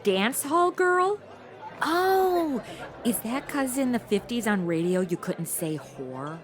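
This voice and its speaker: A woman's voice: fairly high pitch, expressive, slow pace. An actress playing a spoiled modern city woman stuck in the Old West, sarcastic, blunt and complaining, in a comedy audio drama. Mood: mocking.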